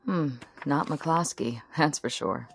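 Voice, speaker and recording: An actress playing a breezy, casual wife in a radio drama. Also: female voice, low voice, slow, gravelly, breathy edge, heavily acted. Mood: distracted, dismissive. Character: breezy, dismissive wife humoring her agitated husband. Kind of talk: modern radio drama acting. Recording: faint music behind the voice.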